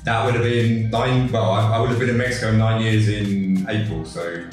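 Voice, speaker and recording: A man's voice: fairly low pitch, moderate pace. An English man in his forties, a tech company co-founder, talking casually in an interview with lots of fillers and restarts. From England. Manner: relaxed, rambling with many fillers and restarts. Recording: echoey room, far from the mic, music behind.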